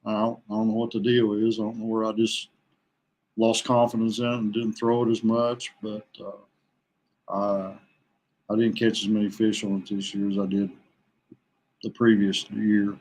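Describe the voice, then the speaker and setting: A man from West Virginia chatting casually as a podcast guest, plain-spoken and halting, in no hurry. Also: on the low side, little pitch movement, darkish tone, low-quality recording. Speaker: podcast guest, veteran kayak angler. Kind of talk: casual chat.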